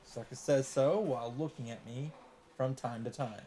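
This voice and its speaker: A man's voice: mid-range voice, moderate pace, dark tone, slight background noise. Man reading a visual novel aloud. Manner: reads narration evenly, breaks in with swearing asides. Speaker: let's play host.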